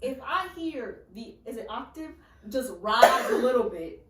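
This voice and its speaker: A woman's voice: a little high, big pitch swings, measured pace. A woman guest in a casual chat, candid and frank about herself. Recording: echoey room, mic far off.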